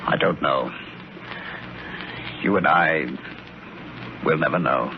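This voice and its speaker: Deep-voiced, haunted colonel, sole survivor. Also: male voice, noisy background. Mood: bleak, resigned. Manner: dramatic, grave, deliberate. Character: stoic grieving male colonel, last survivor of Earth's destruction. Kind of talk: 1940s radio drama acting and narration. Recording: dull 1940s radio recording, music behind.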